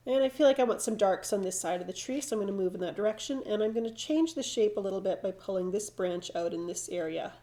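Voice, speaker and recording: A woman's voice: mid-range voice, quick pace, few pauses. A middle-aged woman with a slightly froggy, stuffed-up voice from hay fever, thinking aloud as she demonstrates watercolor painting. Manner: relaxed, thinking aloud while painting, frequent restarts. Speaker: watercolor instructor.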